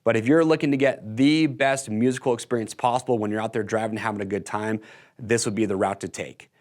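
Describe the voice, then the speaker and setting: A man's voice: steady tone, fairly quick, a little mellow. American man presenting gear, enthusiastic and conversational. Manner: enthusiastic, conversational sales pitch. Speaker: product video presenter. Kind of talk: casual product walkthrough to camera. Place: indoor workshop-style studio set.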